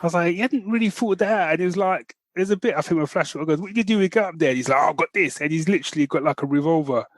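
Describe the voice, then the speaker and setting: A man's voice: fairly high pitch, rapid-fire, hardly any pauses, no room sound. A man co-hosting a podcast, chatting casually about geek news in a jokey, slangy, conversational way. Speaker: podcast co-host.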